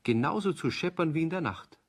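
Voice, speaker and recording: A man with a husky voice narrating a children's audio play as a scripted storyteller. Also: on the high side, expressive, fairly quick.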